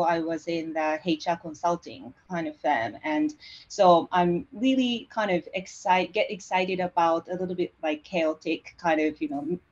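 Japanese-accented woman guest, lowish voice, hesitant and full of fillers, dull home-office audio. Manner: halting, many fillers and restarts. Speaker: interview guest. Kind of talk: conversational interview.

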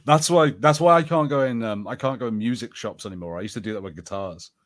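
A man's voice: mid-range voice, fast, a bit bright. A man co-hosting a podcast, reacting in casual chat with a hesitant, word-repeating way of talking. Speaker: podcast co-host.

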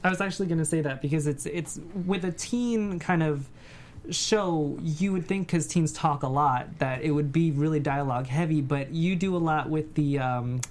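Actor chatting on a panel, middle pitch, bit hesitant finding words. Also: moderate pace, a little mellow. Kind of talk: casual discussion.

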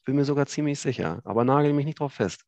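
A man in his thirties from Hamburg with a northern German accent, chatting as a guest on a video call, relaxed and hesitant with many fillers. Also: mid-range voice, quick pace, no room sound. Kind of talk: casual chat.

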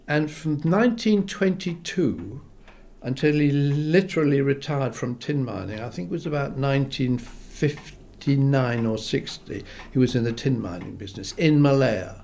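A man's voice: mid-range voice, normal speed. Older English-born man reminiscing animatedly. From England. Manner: rambling, animated, acts out quoted voices. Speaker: composer, interview guest. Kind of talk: reminiscing interview.

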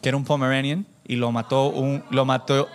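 A man preaching informally to a church congregation, animated and self-deprecating, bantering with listeners and dropping in English phrases. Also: mid-range voice, brisk. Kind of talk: informal conversational sermon with anecdotes.

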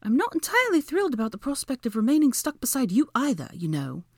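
A woman voicing a sharp-tongued, exasperated young witch in an audiobook, with cutting, sarcastic delivery. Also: sits high, huge pitch swings, quick pace, no echo, clean studio sound, pitched above the performer's own voice, exaggerated voice. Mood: angry. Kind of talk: dramatic audiobook character dialogue.

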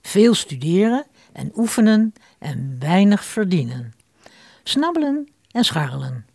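Casting: female radio presenter, unhurried and scripted, lots of intonation, creaky edge. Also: mid-range voice, big pitch swings, dark tone, dry recording, studio quality. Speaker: presenter introducing the episode. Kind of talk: scripted radio drama introduction.

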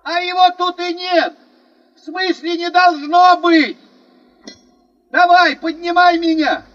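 An actor playing a scrappy, excitable gold digger in a Soviet radio drama, shouting and teasing in rough colloquial speech. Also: male voice, extremely high pitch, slow pace, lo-fi sound, heavily acted. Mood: cheeky, excited.

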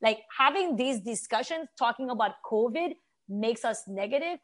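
Earnest woman with a higher-pitched voice. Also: animated, moderate pace. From Haiti. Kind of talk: conversational opinion talk.

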